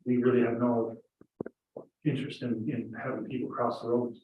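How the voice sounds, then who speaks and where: A man's voice: mid-range voice, level tone, moderate pace. A man with an Irish accent giving informal answers as a public works director at a council meeting. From Ireland. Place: meeting room heard over a video meeting. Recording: echoey meeting room, distant muffled mic, faint hiss.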